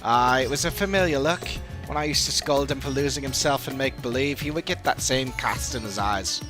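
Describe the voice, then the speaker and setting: A man's voice: mid-range voice, a little fast, over music, lightly acted. A man voicing a regretful father in a fantasy game, speaking solemnly and formally of his disappointment. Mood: rueful. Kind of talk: dramatic reading of game dialogue.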